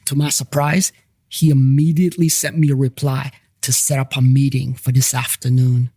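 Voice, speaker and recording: A man's voice: medium pitch, little pitch movement, moderate pace, warm tone, breathy edge, no echo, clean studio sound. A man narrating a scripted first-person story as the narrator of a fiction podcast.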